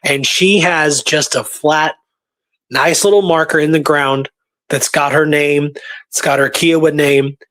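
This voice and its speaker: A man's voice: fairly high pitch, fairly even intonation, a little slow, a bit bright. A man telling stories in a casual, informal, colloquial way, as a guest researcher in a conversation.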